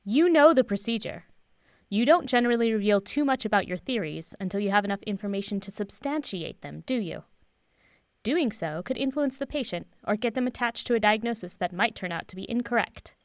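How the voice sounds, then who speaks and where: A woman's voice: mid-range voice, lively intonation, no room sound, lightly acted. Cryptic woman professor, acted, dry close sound. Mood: guarded, knowing. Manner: measured, guarded, teacherly, fond of sayings. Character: cryptic, self-assured woman professor, patient mentor. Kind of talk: audio drama dialogue.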